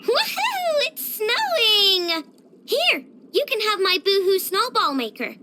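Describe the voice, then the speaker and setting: Very high, excitable little girl inventor. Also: moderate pace, very noisy. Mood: excited. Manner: childish, playful, bossy, dramatic. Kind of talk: video game character voice lines.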